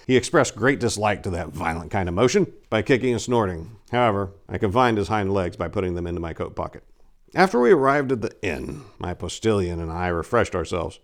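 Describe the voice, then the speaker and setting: A man voicing a boastful, gallant aristocratic adventurer, telling tall tales in a grand, theatrical storybook manner. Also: on the low side. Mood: grand, earnest. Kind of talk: dramatic reading of an old storybook.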